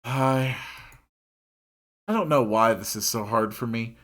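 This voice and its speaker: A man reading a story aloud in an expressive narrating style. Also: mid-range voice, slow.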